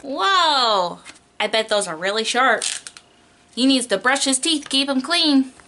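A woman's voice: high-pitched, animated, a little slow, bright, forceful tone. A young adult woman, a preschool teacher doing a picture book read-aloud in an exaggerated, child-directed way, stretching key words.

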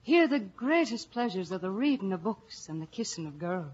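A woman's voice: mid-range voice, very animated, moderate pace, darkish tone, a little hiss or hum, close to an everyday voice. An actress with an Irish accent playing a guileless, romantic young village woman, earnest and frank, in 1940s radio style. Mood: frank, coy. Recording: muffled old radio broadcast.

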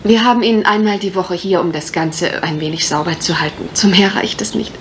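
A woman's voice: on the low side, a little fast, noisy background, natural voice. An actress playing a polite, helpful young lady of the house in a German radio crime drama. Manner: polite, obliging.

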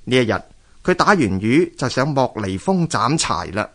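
A male radio narrator with a Hong Kong Cantonese accent tells scripted tales and history. His medium-pitched voice moves up and down in a lively way. The delivery is expressive and measured, like a storyteller reciting. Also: husky.

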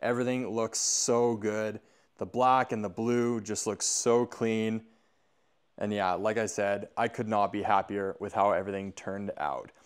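A man's voice: on the low side, smooth. A man in his thirties with a North American accent, narrating a casual vlog to viewers in an informal, upbeat way. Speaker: host of a truck build channel.

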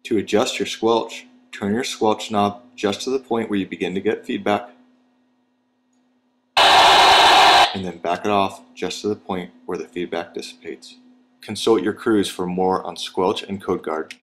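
A man reading scripted instructional narration for a training video in a measured, step-by-step, plain manner. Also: lowish voice, steady tone, bright, forceful tone, a little hiss or hum, poor audio.

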